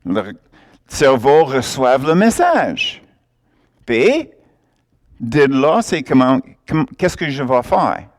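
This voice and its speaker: A man's voice: medium pitch, sing-song, slow pace, long pauses. A man preaching a sermon, emphatic and often laughing as he acts out little exchanges.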